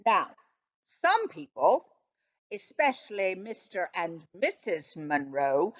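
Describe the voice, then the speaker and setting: A woman reading a children's story aloud in an expressive narrating style. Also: fairly low pitch, slow pace, dull audio. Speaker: storybook reader.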